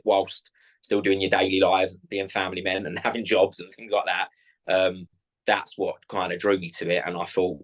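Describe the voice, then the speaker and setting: Low, flat-voiced South London man. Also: rough recording. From South London, England. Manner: chatty, rambling with many fillers and restarts. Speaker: club owner, guest. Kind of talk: casual interview chat.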